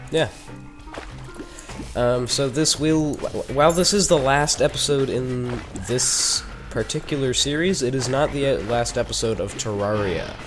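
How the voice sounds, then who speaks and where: Teen boy gamer with a head cold. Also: fairly low pitch. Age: teens. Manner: chatty and rambling, with joking asides. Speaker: gaming YouTuber. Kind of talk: casual gameplay commentary.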